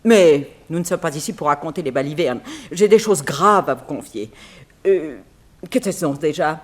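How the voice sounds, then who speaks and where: An actress playing a wealthy, talkative, scatterbrained middle-aged widow in a theatrical, grand and fussy manner, in 1960s French radio drama style. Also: female voice, sits low, lively intonation, normal speed. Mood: flustered, dramatic. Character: wealthy, talkative, scatterbrained American banker's widow and society aunt.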